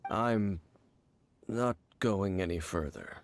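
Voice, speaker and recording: A man playing an aloof, world-weary warrior in a video game, speaking in a measured, grave, guarded way. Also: sits low. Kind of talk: video game character acting. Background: game music.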